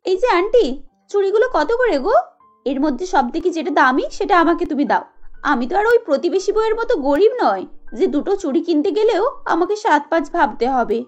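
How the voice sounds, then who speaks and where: A woman's voice: very high-pitched, sing-song, fast, highs cut off, soft background music. A woman voicing an arrogant, rich young daughter-in-law in a cartoon story, haughty and taunting. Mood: haughty, mocking.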